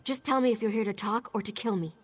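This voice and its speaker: A woman's voice: mid-range voice, quick pace, slightly rough, natural voice. A woman playing a bitter, jaded younger sister who left a cult, guarded and sarcastic, in video game dialogue. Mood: wary, sardonic.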